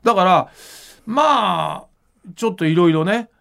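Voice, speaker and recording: A man talks in a high voice with plenty of creak in it. His pitch moves around freely as he explains things casually, like a host thinking aloud. The delivery is informal and animated. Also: measured pace. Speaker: podcast host and manga creator.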